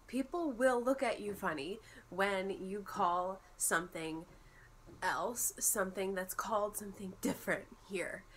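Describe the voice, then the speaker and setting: A woman's voice: medium pitch, measured pace, fairly bright tone, slight background noise, rough recording. Congested young woman vlogging. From United States. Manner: chatty, explanatory, friendly. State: congested from a cold. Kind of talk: casual vlog. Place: bedroom at home.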